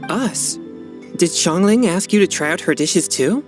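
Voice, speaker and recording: Earnest polite teen boy in a game, lowish voice, lots of inflection. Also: lively intonation, a little slow, music in the background. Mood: puzzled. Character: earnest, polite, reserved teenage boy exorcist. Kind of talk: dubbed video game cutscene dialogue.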